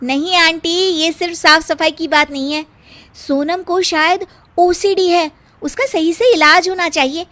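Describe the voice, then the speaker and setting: A woman voicing an outspoken young woman visitor in an acted moral story, emphatic and quick to argue. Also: very high voice, sharp tone, pitched above the performer's own voice, exaggerated voice. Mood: concerned, insistent.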